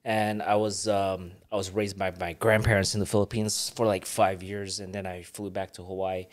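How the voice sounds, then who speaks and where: A man's voice: low voice, flat delivery, normal speed. A man in his forties whose first language is Ilocano, telling stories in a laid-back, joking way with lots of fillers. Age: 40s. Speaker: podcast guest, retired boxer. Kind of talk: casual interview chat.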